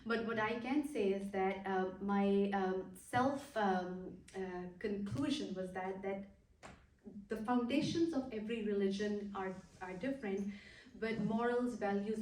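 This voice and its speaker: A woman in her thirties from Pakistan giving a personal talk, earnest and hesitant, pausing often with fillers. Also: mid-range voice, darkish tone, echoey room, far from the mic, slight background noise, low-quality recording. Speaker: guest speaker.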